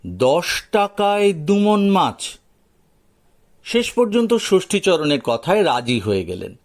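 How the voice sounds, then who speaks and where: A man's voice: high voice, sing-song, takes it slowly. A man narrating a folk tale as a storyteller, in a dramatized audio story style.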